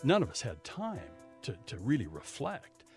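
An older American man speaking reflectively and conversationally in an interview, often halting and repeating words. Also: mid-range voice, wildly swooping pitch. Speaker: radio news veteran interviewee. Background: music under the voice.

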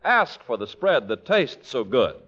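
A man's voice: mid-range voice, very animated, unhurried, fairly bright tone, husky. A man announcing on a 1940s radio show with a polished, emphatic salesman's delivery. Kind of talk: 1940s radio commercial and scripted narration. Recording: muffled vintage radio recording.

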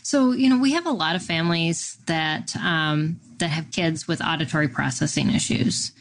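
A woman's voice: deep voice, moderate pace, slight background noise, low-quality recording. A woman hosting a podcast, asking questions and murmuring along while her guest talks. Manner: frequent short backchannel murmurs. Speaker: podcast host. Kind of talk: podcast interview.

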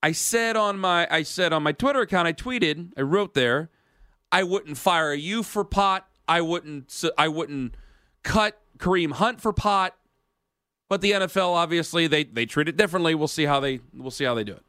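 A man's voice: fairly high pitch, normal speed, pushed and bright, clear, resonant tone, no room sound, studio quality. A man in his thirties hosting a radio sports talk show, rambling in casual banter with self-mocking asides. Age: 30s. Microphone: studio mic.

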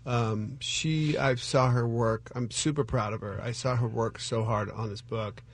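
A man talking as a guest in a casual interview, earnest and halting, about his partner's work. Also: medium pitch, steady tone, a little hiss or hum.

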